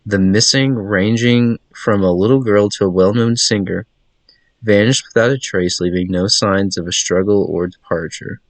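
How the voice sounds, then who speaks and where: A sober reporter reads scripted village news from a game, voiced by a man. The voice is fairly low. The sound is close and dry. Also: moderate pace, no echo, close to an everyday voice.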